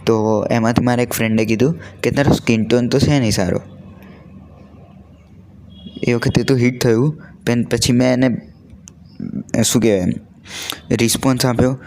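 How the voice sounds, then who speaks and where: A man's voice: mid-range voice, fairly even intonation, moderate pace, pauses often, darkish tone. A young adult man chats casually and earnestly, telling stories in a conversational way and mixing in English words. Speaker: vlogger.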